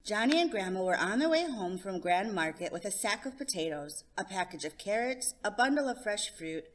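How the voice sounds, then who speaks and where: Female storytime host, mid-pitched, very animated, expressive read-aloud for children. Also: normal speed.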